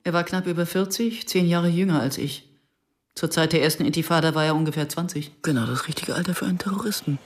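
A woman's voice: on the low side, quick pace, close to an everyday voice. An actress playing a guarded, reflective woman in her early fifties who narrates and converses soberly in a German radio drama. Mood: guarded, brooding. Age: middle-aged.

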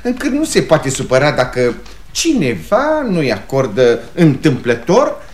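Stiff provincial gentleman, wildly swooping pitch. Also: wildly swooping pitch, echoey room. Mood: stiffly offended. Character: stiff, formal provincial brother of the mayor. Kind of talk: theatrical radio comedy acting.

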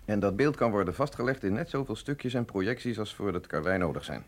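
Wry scientist with swooping intonation. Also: male voice, fairly low pitch, animated, fast. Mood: thoughtful, doubtful. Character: wry, skeptical male physiologist, urbane and philosophical. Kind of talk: Dutch radio drama acting.

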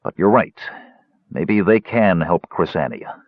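A man voicing a big, weary male warrior who speaks in gruff mutters. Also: sits low, expressive, unhurried, dry recording. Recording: dull, dry lo-fi recording.

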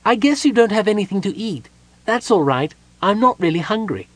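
A chattering little kender with a husky edge and a high, bouncing voice, as a man puts him on. He sounds childlike and endlessly curious, tumbling over his words. The delivery swoops all over the place, lively and loud. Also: a little fast, dry recording. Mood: chirpy. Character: small excitable male kender, childlike and curious.

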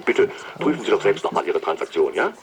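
An actor playing a chatty, breezy company doctor in a radio drama, chummy and officious, heard at a distance over music. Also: male voice, deep voice, very animated, quick pace, a crowd in the background. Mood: chummy, probing.

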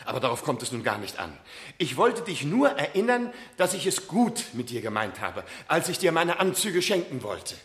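Pleading middle-aged father, radio drama, high voice, swooping intonation, hesitant, stammering, evasive. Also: very animated, normal speed, a bit bright. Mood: flustered, pleading. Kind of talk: radio play acting, 1970s.

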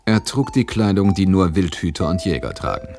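A man's voice: low-pitched, big pitch swings, normal speed, background noise. A man acting a brooding, laconic widower in a radio drama, telling his story in an understated, terse, wry way. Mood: somber narrating. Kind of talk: radio drama acting and first-person narration.

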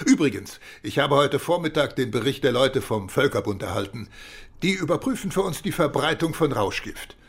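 An actor playing a measured, courteous British police chief in a radio drama, speaking formally and deliberately. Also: male voice, mid-range voice, gravelly, slightly rough.

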